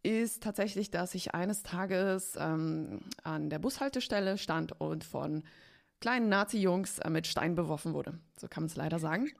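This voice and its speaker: A woman's voice: fairly low pitch, moderate pace, creaky. A woman from Poland and eastern Germany, a guest in a casual podcast chat, talking candidly and laughing often. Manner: chatty, candid, laughs often, many fillers. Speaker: podcast guest, agency co-founder.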